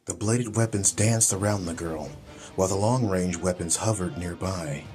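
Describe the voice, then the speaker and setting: A man's voice: low-pitched, moderate pace, darkish tone, soft background music. A man reading a scripted, dramatic story as an audiobook narrator.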